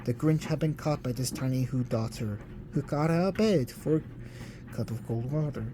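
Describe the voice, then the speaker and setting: A narrator giving a theatrical, expressive read-aloud of a rhyming children's story. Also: mid-range voice, normal speed, background noise.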